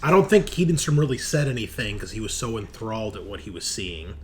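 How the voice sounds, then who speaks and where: A man's voice: fairly low pitch, sing-song, quick pace. A man narrating a tabletop horror game as game master, in a conversational way. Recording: noticeable background noise.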